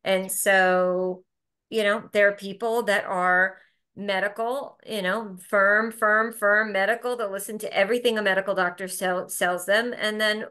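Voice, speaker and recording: A woman with a bright, forceful tone, talking as a guest in a relaxed interview. She speaks with conviction, circles back and repeats words as she goes, and lands her points firmly. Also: medium pitch, unhurried. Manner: emphatic, with frequent restarts and repeated words. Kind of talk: casual conversational interview.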